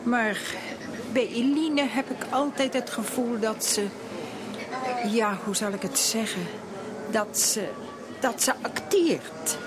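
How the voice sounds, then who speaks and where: A woman's voice: a little high, a loud crowd. A woman playing a gossipy, critical society lady in a period radio drama, with an affected, disapproving manner. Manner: disapproving, affected, drawn-out delivery. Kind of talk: Dutch radio drama acting.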